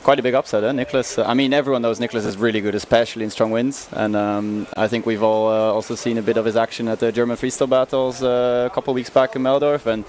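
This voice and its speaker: A man chatting casually as a guest rider in a relaxed, easy way. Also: mid-range voice, fast talker, few pauses. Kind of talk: casual chat.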